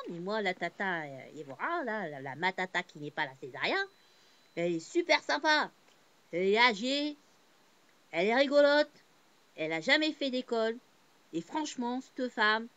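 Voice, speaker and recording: A woman born in Portugal to a Cape Verdean family, telling a personal story in a casual, rambling way with many fillers and restarts. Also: on the high side. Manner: heartfelt, swings between subdued and animated.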